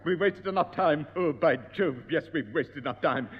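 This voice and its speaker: An actor playing a prim, pompous piano teacher in a 1960s radio comedy. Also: male voice, a little high, moderate pace, close to an everyday voice. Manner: pompous, prim, repeats "oh yes". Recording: dull, echoey old radio broadcast.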